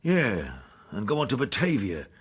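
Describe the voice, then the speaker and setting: A sly old sea captain in a radio play. His husky, mid-pitched voice rises and falls freely, with an earthy, colloquial, insinuating drawl of talk. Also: huge pitch swings, normal speed, heavily acted. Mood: gossipy. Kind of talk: radio drama acting.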